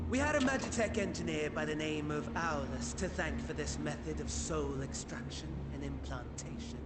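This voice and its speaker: An actor playing a suave, mocking villain sorcerer in a video game, theatrical and smugly polite. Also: male voice, high voice, noisy background. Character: suave, mocking male villain sorcerer, gloating over a captive. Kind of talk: video game cutscene acting.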